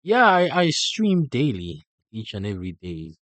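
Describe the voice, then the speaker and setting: Slow, drawling streamer man from South Africa. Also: medium pitch, no room sound. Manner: drawn-out, relaxed, greeting chat. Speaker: streamer and host. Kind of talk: casual live-stream chat.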